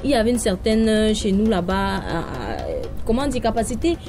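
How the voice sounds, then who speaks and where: A woman from Cameroon hosting a casual debate, lively, often chiming in and finishing her guest's sentences. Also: mid-range voice, normal speed, over music. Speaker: debate host.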